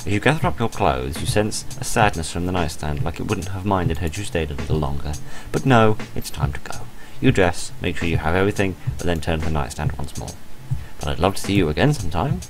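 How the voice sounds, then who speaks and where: Low-voiced guy reading out a visual novel, playful and dramatic, lively swoops, bit of casual commentary, music behind. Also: brisk, rough recording. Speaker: host of a game playthrough channel. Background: game music.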